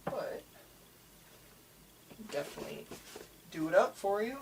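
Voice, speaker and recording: A person doing a casual ASMR roleplay as a shop worker, chatting in a rambling, halting, enthusiastic way. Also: medium pitch, expressive. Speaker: manga store worker in a roleplay. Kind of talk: ASMR roleplay, casual customer-service chat.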